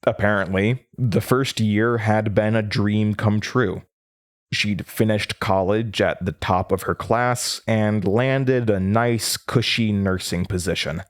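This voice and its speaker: A man's voice: lowish voice, slow pace. An actor playing a nervous, earnest male researcher, narrating horror audio drama in a hesitant, stammering, confiding way. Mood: uneasy, reflective. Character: nervous, earnest male horror-radio researcher recounting his case notes.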